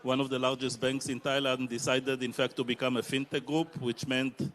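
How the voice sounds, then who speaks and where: A man, answering on a conference panel with many hesitant pauses. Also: medium pitch, little pitch movement, fairly bright tone. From Israel. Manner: halting, many filler pauses. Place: conference stage.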